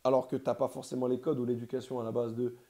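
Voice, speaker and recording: A man's voice: mid-range voice, level tone, quick pace, mellow. A man in casual conversation, talking in a rambling, emphatic way with lots of slang. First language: Portuguese heritage, quasi bilingual.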